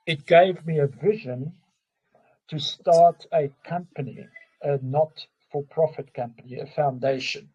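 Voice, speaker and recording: Husky-voiced man, slow and halting. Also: fairly high pitch, dark tone, lo-fi sound. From South Africa. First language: Afrikaans. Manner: halting, restarts often, openly emotional. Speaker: guest. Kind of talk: casual conversation.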